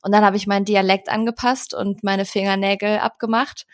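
A woman's voice: mid-range voice, brisk. A woman from the Rhineland hosting a podcast interview, talking hesitantly with frequent fillers. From Rhineland, Germany. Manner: hesitant, many fillers, even delivery. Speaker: podcast host.

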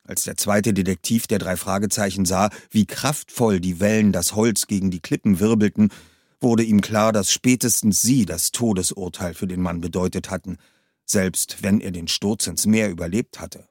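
A man's voice: low voice, fairly even intonation, moderate pace, a little mellow, slightly rough, dry recording, clean studio sound. A man reading a suspense story aloud in a tense, dramatic narration style. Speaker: reader. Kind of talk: audiobook narration.

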